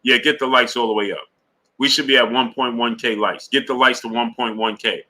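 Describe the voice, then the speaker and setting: A man's voice: medium pitch, fairly even intonation, a little fast, bright, forceful tone. A man hosting a live-stream boxing show, greeting viewers in the chat and talking through his views with many fillers and restarts, often checking in with "right?". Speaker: sports show host.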